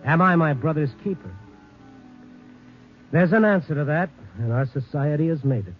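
An actor playing a firm, earnest, world-weary newspaper reporter in a 1950s radio drama, in a measured manner. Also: male voice, a little high, lightly acted. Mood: reflective. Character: steady, world-weary male big-city newspaper reporter. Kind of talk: 1950s radio drama acting and first-person narration. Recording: muffled old radio recording, faint music.

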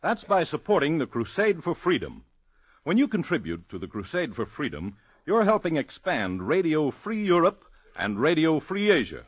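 Earnest male American spokesman, husky, varied intonation, scripted vintage radio appeal. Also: fairly high pitch. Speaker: public service announcer. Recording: dull vintage radio recording.

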